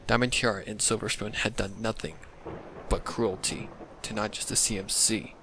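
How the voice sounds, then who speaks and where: A man reading scripted narration for a dark fan fiction story. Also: lowish voice, fairly even intonation, moderate pace, noisy background. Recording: loud music under the narration. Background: loud music.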